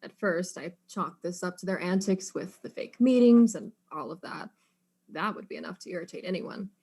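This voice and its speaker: A woman acting a suspicious, persistent detective in a mystery play, questioning in a formal, measured way. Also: fairly low pitch, darkish tone, husky. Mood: probing. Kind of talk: murder-mystery play dialogue.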